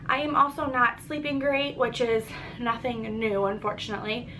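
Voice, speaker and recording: A woman's voice: fairly high pitch, flat delivery, moderate pace, bright, forceful tone, a little hiss or hum, rough recording. A young pregnant woman giving a casual vlog update, congested and a little short of breath, chatty with frequent ums. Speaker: pregnancy vlogger.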